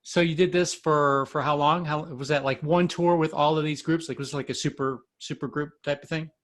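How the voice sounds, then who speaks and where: A man's voice: on the high side, fairly even intonation, brisk, fairly bright tone. A man hosting a podcast, asking questions in a friendly, rambling way with many restarts. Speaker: podcast host. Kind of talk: casual interview hosting. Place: Florida.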